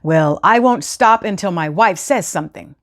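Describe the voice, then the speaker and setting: A woman hosting a children's storytime, speaking in an expressive, teacherly way as she reads and explains. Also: lowish voice, normal speed. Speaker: host of a children's read-aloud storytime show. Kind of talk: expressive storybook reading with scripted instructions.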